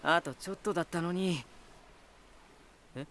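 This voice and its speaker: A playful male lighthouse spirit from an anime-style audio drama. His voice sits fairly high for a man, and he speaks with boyish self-assurance, teasing and talkative, with an eerie taste for battle underneath. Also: measured pace, fairly bright tone. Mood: excited. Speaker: voice actor in a character drama.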